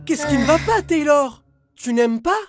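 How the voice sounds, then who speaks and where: Fussy, high-voiced cartoon dog stylist. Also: female voice, expressive, measured pace. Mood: brisk, caring. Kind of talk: animated children's cartoon dub. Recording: music behind the voice.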